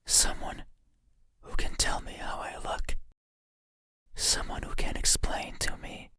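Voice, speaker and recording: An actor voicing a creepy, intimate bedside monster in a horror game, pleading and menacing in a breathy, insistent whisper. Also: pushed and bright, no echo, clean studio sound. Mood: mournful, eerie. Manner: slow, insistent, eerily deliberate.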